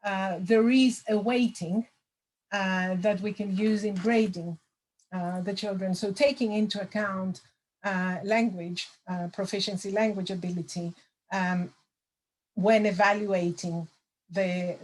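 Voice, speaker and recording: A middle-aged woman, a lecturer answering audience questions over a video call, thoughtful with many pauses and fillers. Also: medium pitch, steady tone, darkish tone, rough recording. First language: Greek. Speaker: language assessment lecturer.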